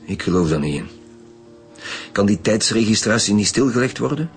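An actor playing a sober, suspicious lead detective with a Flemish accent, formal and dry, in a radio crime drama. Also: male voice, on the low side, lively intonation, normal speed, faint music behind.

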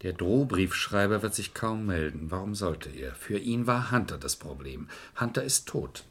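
An actor playing a shrewd, domineering lawyer in a crime radio play, probing and sardonic. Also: male voice, on the low side. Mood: businesslike, probing. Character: shrewd, domineering defence lawyer, scornful and commanding. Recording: old radio drama recording.

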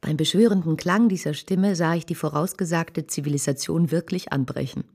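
Husky, lowish woman reflective narrator. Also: brisk, a little mellow. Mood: wistful, reflective. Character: reflective woman recalling her idealistic longings, 19th-century Paris. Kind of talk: first-person narration in radio drama.

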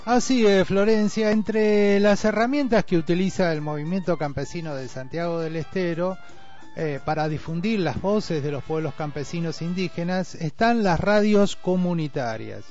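Higher-pitched Argentine male radio host. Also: normal speed, darkish tone. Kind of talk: community radio presenting, semi-scripted. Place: radio studio. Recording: dull radio audio with music bed.

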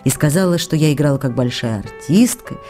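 An actress playing an affectionate, emotional stage actress reading out her letters to her lover in a radio play, expressive and intimate. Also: deep voice, a little fast, over music. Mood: animated, proud. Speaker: actress in an audio drama.

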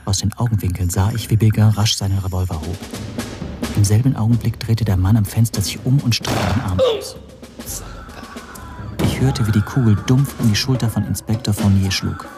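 An actor as the first-person narrator of a crime radio play, telling it in a measured, literary way. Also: male voice, fairly low pitch, natural voice. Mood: suspenseful narration. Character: man recounting a tense night police raid. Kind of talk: scripted radio drama narration. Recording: music behind the voice.

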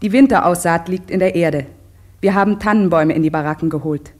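An earnest settler woman played by an actress reads from her diary. Her voice is somewhat low and measured, in the style of a radio play. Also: a little mellow, close to an everyday voice.

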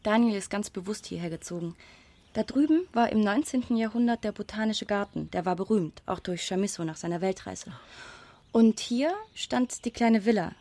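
A woman's voice: mid-range voice, animated, moderate pace, mellow, close to an everyday voice. An actress playing a young, grieving and tense woman in a naturalistic radio drama, talking informally in clipped phrases. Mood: informative, reflective. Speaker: actor in a radio play.

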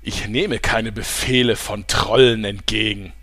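A man playing a smug, sarcastic sidekick with a husky voice. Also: lowish voice, fairly even intonation, unhurried, a bit bright, no room sound.

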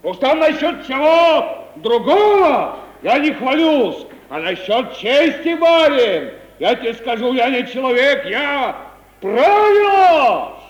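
Blustery folksy merchant, real high, drags out his words, proud and offended, old 1950s radio play. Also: big pitch swings, dull audio, heavily acted. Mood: indignant, proud. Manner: folksy colloquial speech, drawn-out indignant retorts. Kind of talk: 1950s Russian radio theatre comedy acting. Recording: roomy vintage 1950 radio play recording.

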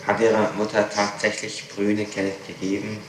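A man's voice: on the low side, monotone, a little slow. A man putting formal, insistent questions as a prosecutor in an interrogation, in a matter-of-fact way. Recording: echoey, noisy archival 1960s interrogation tape.

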